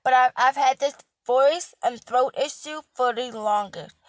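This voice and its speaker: Hoarse, high-voiced woman ranting bluntly. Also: measured pace, dry recording. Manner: blunt, emphatic, repeats herself, swears. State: hoarse, voice cracking. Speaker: healthcare worker. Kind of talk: casual vlog rant.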